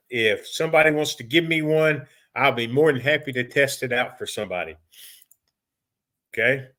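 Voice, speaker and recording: A folksy middle-aged man at the front of a repair technicians' training class, haltingly talking colleagues through a job and circling back over his phrases. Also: mid-range voice, fairly bright tone. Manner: folksy, halting, repeats and rephrases himself. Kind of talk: informal training lecture.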